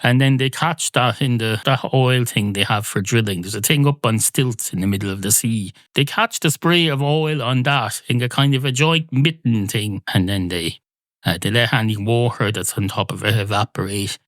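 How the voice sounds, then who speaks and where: A man's voice: mid-range voice, normal speed, a bit bright, no room sound, natural voice. Man playing a rambling, pedantic barman. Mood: explaining.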